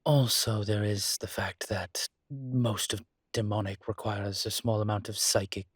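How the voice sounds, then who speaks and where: Guy acting as a classy demon professor, husky and super dry close sound, halting, kinda irritated but patient, intimate audio rp. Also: on the low side, steady tone, no echo. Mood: thoughtful, explaining. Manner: hesitant, halting, genteel explanations. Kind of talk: intimate audio roleplay monologue.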